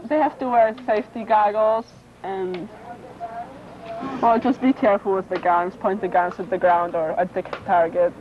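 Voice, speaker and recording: A woman's voice: medium pitch, steady tone, moderate pace, background noise. A young woman from Poland, a camp shooting counselor, answering in short, plain sentences with uhs. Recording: dull camcorder tape, campers around.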